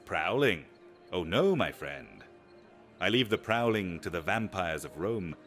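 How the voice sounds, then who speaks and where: A man plays an evasive, grandly courteous stranger in a Roman fantasy drama. His fairly low voice moves with florid, lively swings, over music. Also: unhurried, heavily acted. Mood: smooth, evasive. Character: grandiloquent, evasive foreign visitor in ancient Rome. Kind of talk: fantasy audio drama acting.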